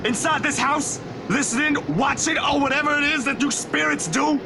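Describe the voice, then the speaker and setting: A man's voice: very high-pitched, fairly even intonation, normal speed, creaky, over music. A man voicing an agitated, determined young man in a visual novel, with urgent, emphatic delivery. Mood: angry, defiant. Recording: loud background noise.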